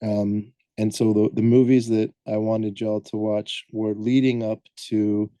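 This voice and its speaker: A man co-hosting a podcast, talking casually and loosely with many pauses and filler sounds as he lays out background he has looked up. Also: fairly low pitch, mellow, dry recording. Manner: rambling, hesitant, lots of "um" and self-corrections. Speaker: podcast co-host presenting the film research.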